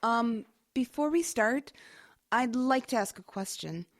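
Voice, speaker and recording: A woman's voice: fairly high pitch, animated. An actress playing a shaken, earnest young woman new to a radio theater, stammering in naturalistic drama style. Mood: accusing. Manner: halting, stammering, upset. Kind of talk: naturalistic audio drama acting.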